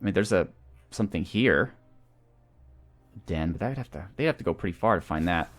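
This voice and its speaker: A man's voice: deep voice, animated, a little fast. A man hosting a gaming channel, talking through a strategy game and thinking aloud as he goes. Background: video game music.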